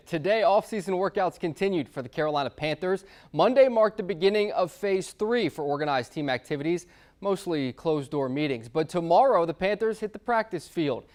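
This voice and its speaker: A man with an American accent reads sports news on television. His voice sits somewhat high, and the delivery is a scripted anchor read. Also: darkish tone.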